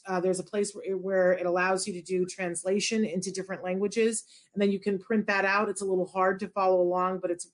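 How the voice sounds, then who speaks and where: A woman co-hosting a live stream, answering viewers directly in a friendly way. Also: medium pitch.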